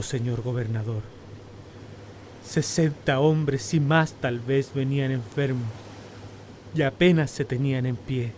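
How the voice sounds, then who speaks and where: A man playing a shaken steamship captain in a radio drama, solemnly recounting a disaster in measured, literary words. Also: medium pitch, darkish tone, a little hiss or hum, lightly acted.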